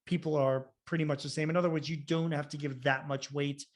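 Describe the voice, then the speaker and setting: A man hosting a conversational interview, thinking aloud in a halting way as he phrases his questions. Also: fairly high pitch, little pitch movement. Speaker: podcast host and interviewer.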